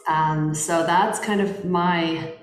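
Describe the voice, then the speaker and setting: Woman in her thirties, interview guest, lower voice, measured and thoughtful, echoey room. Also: fairly even intonation, faint music behind. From Poland. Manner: thoughtful, measured, frequent restarts. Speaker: guest lawyer. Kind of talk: interview conversation. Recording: roomy echo.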